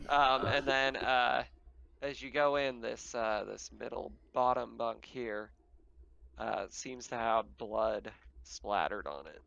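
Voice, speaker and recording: A man casually narrating a tabletop roleplaying game as game master, pausing often as he describes things. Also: medium pitch, vocal fry, fairly bright tone, no echo. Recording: dull, muffled voice track.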